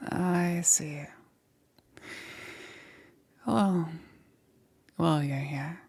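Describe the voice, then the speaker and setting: A woman playing a soft-spoken, secretive witch in an intimate ASMR roleplay, soothing and hesitant, often dropping into a whisper. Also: sits low, drawn-out words, full character voice. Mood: soothing, apologetic. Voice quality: breathy, husky, often whispering.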